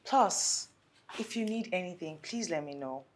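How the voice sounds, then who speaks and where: A woman's voice: lowish voice, animated, measured pace, slight background noise. An actress playing a demanding young wife who bickers over chores and talks down to her new cook. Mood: instructing. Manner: fussy, demanding. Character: young wife, fussy and status-minded. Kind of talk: scripted web drama.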